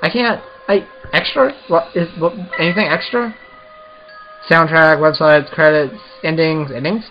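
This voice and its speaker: A man's voice: fairly high pitch, fairly even intonation, measured pace, lo-fi sound, faint music behind. A man doing a casual let's play, reading visual novel text aloud and commenting as the host of a gaming video.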